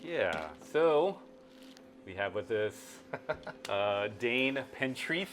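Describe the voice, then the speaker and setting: A man interviewing someone in a casual chat, with a halting, laid-back way of talking. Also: medium pitch, lively intonation, fairly bright tone, a little hiss or hum.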